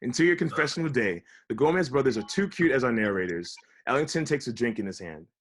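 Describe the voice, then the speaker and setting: Male narrator, medium pitch, scripted stage directions, table read delivery. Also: lively intonation, fast. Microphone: video call.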